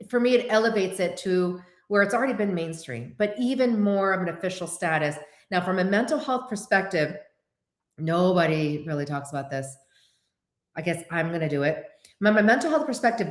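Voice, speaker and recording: A woman in her forties, born to Korean as a first language, hosting a casual livestream chat and answering viewers in an animated way. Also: medium pitch, roomy sound. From United States. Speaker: host.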